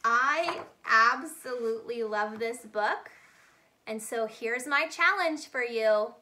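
A high-voiced woman reads a children's story aloud. Her delivery is slow and expressive, with a bright tone aimed at young listeners. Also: slight background noise. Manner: animated, deliberate, addressing young listeners. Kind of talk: expressive picture-book read-aloud.

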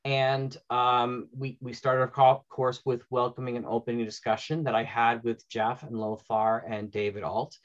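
A man's voice: medium pitch, little pitch movement, normal speed, hardly any pauses. A middle-aged man talking informally as the host of a panel. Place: indoor room at home, on a video call. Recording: dull lo-fi video call audio.

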